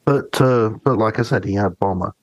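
Man, lowish husky voice, dry close sound, reflective, halting, casual podcast co-host. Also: no room sound. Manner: hesitant, reflective, halting delivery. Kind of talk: casual book discussion, personal anecdotes.

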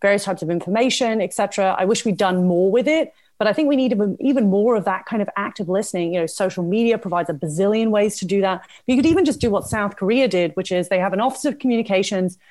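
A woman's voice: medium pitch, fast talker, few pauses, darkish tone. A woman with a British accent speaking conversationally as an expert guest in a panel discussion, explaining in an articulate way. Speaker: guest expert on public health communication.

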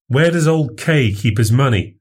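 A man voicing a smooth, cynical medical man who coaxes and mocks a younger accomplice in a storybook reading. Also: mid-range voice, lively intonation, moderate pace, darkish tone, pitched above the performer's own voice. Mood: coaxing. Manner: coaxing, persuasive, mocking.

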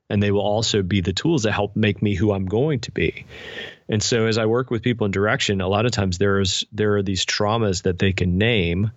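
A middle-aged man with a low voice talks thoughtfully in an interview, illustrating his points as he goes. The recording is dry and close, with a slightly dull top end. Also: dry recording. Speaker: podcast guest, spiritual director and author. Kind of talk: reflective interview conversation.

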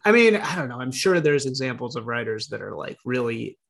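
Rambling, animated male podcast host. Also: mid-range voice, a little fast, creaky, a crowd in the background. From Chicago, US Midwest. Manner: rambling, animated, many fillers and restarts. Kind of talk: casual conversational interview.

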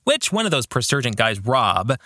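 Male podcast host, dry close sound, lively swooping intonation, reflective book commentary, measured emphatic phrasing. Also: mid-range voice, no echo.

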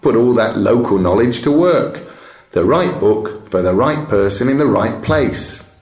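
A man's voice: medium pitch, animated, unhurried, darkish tone, natural voice. An old bookseller voiced by a male narrator holds forth with pride and relish. His delivery is expansive, like someone unfurling a favourite tale, in a roomy recording. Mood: enthusiastic. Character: old bookseller, proud, fond of unfurling stories. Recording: roomy echo.

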